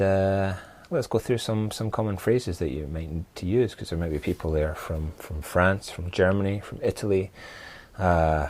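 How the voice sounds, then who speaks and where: Low-voiced, slow-talking male English teacher. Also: darkish tone. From Scotland. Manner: hesitant, halting delivery with example phrases. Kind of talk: informal language lesson to camera.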